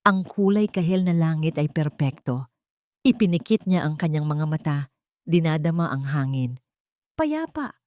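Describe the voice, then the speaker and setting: A woman with a slightly low voice narrates a romantic drama as a storyteller. Her delivery is solemn and measured, recorded dry and close. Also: darkish tone, dry recording.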